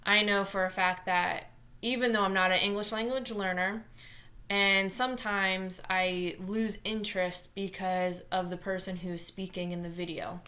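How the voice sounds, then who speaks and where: Fast-talking New Jersey woman teacher. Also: medium pitch, level tone, bright, forceful tone. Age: 20s. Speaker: math teacher. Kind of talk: scripted lesson narration.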